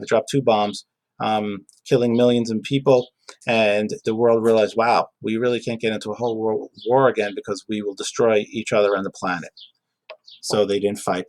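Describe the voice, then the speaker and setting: A man giving an informal lesson as a teacher, conversational, asking and answering his own questions and repeating key phrases. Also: mid-range voice. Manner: conversational, asks and answers own questions, emphatic repetition, casual asides. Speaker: GED reading teacher. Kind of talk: informal spoken lesson, explaining history and reading a passage aloud.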